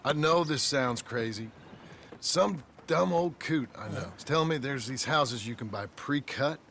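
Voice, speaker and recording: An actor playing a weary, blunt frontier rancher in a western video game, gravelly and laconic. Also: male voice, mid-range voice, unhurried, a bit bright, slight background noise. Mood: plain, wary. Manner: laconic, dry.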